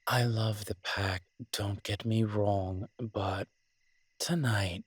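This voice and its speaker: Low, husky, tender werewolf boyfriend played by a man. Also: fairly even intonation, slow pace, no echo. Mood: tender, relaxed. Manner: hesitant, tender, conversational, lightly teasing. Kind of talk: romantic roleplay audio, intimate casual conversation.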